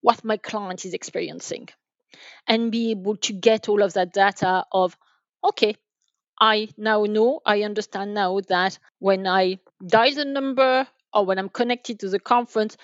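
A woman's voice: medium pitch, steady tone, moderate pace, no echo. A woman guest explains things from experience in an interview. She is wordy and emphatic, often restarting a phrase. The sound is close and dry, a little dull and lo-fi. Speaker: guest, enterprise sales manager.

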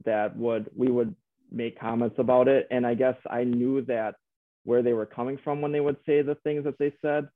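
A man's voice: medium pitch, no room sound. A man speaking as a guest, reflecting hesitantly with many fillers and restarts. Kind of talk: reflective conversational storytelling. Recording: phone-like narrow sound, dry.

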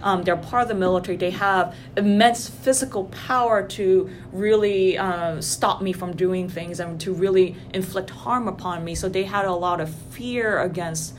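A woman's voice: mid-range voice, moderate pace, hardly any pauses. A woman from Indonesia in a casual academic conversation, speaking thoughtfully with frequent ums and restarts. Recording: noisy background hiss.

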